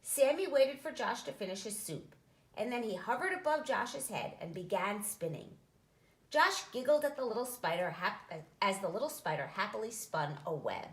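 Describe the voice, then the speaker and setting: A woman reading a children's storybook aloud in an expressive, storytelling style. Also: medium pitch, normal speed, roomy sound, low-quality recording. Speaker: story reader, nonprofit executive director.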